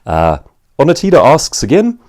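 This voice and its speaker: A man's voice: medium pitch, wildly swooping pitch, normal speed, a little mellow. A man with a South African accent giving an instructional talk to viewers, explaining points articulately and posing rhetorical questions. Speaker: author and professional speaker answering viewer questions.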